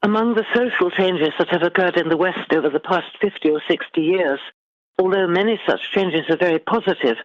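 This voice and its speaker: A middle-aged British woman, an author giving measured, formally phrased answers in a literary interview. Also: fairly low pitch, no echo, lo-fi sound. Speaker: guest author.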